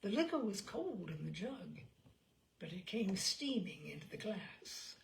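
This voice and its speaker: Creaky-voiced woman storyteller. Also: medium pitch, big pitch swings, a little slow, slight background noise. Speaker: storyteller, narrator. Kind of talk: dramatic literary story reading.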